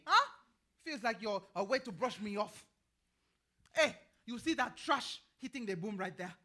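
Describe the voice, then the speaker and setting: An actress plays a folksy older American woman who tends a river garden in a stage drama. Her bright, forceful voice swings widely as she meanders, pries and prods with questioning hums. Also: high-pitched, heavily acted. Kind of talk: staged play reading.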